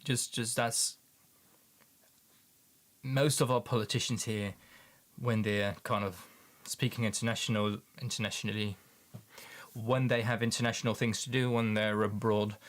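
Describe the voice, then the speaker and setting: Halting Dutch-accented man, chatty. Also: lowish voice, steady tone. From Netherlands. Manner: halting, repeats words, many ums. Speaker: livestream host, paint maker. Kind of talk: casual livestream chat with viewers.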